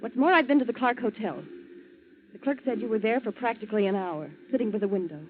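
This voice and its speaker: Young female sweetheart, played by an actress, earnest and insistent, high voice, dull old radio recording. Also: fast talker, slight background noise, close to an everyday voice. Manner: urgent, insistent. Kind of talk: 1940s radio drama acting.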